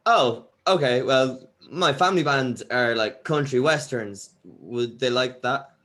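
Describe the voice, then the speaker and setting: A man's voice: medium pitch, unhurried, fairly bright tone, natural voice. A man playing a hesitant, naive young newcomer to a band, read casually in a comedy script read-through. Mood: uneasy.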